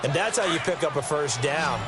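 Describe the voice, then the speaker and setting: A man's voice: fairly high pitch, lively intonation, moderate pace, natural voice. A man with an American accent playing an ex-player football analyst in a video game, explaining plays emphatically. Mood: keen, analytical. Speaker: color commentator, former player. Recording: loud game crowd noise behind voice. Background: stadium ambience of a football video game.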